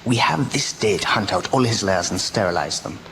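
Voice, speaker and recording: An actor playing a grave, commanding professor who leads vampire hunters with solemn urgency in a radio drama. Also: male voice, lowish voice, animated, a little hiss or hum, poor audio. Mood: grave, urgent. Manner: deliberate, solemn, urgent authority. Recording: radio play with loud music bed.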